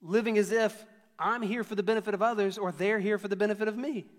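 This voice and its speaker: A man's voice: sits high, fairly even intonation, fast, long reverb tail. A man in his thirties preaching a sermon to a church, conversational and storytelling with self-deprecating humor. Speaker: pastor.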